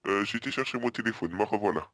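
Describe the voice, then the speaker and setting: Guy acting as a confused earnest hotel customer on a prank call, low dry voice, deadpan, keeps stumbling and repeating himself politely. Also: quick pace, no room sound, natural voice. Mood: apologetic. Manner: hesitant, repetitive, polite, deadpan. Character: earnest, muddled male hotel guest pestering reception. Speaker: prank caller.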